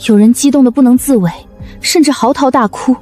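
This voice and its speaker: A young female survivor played by a woman in an animated drama, with a mellow, slightly high voice. She is composed and persuasive, explaining herself in measured, earnest phrases. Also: faint music behind, lightly acted. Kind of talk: scripted animated drama acting.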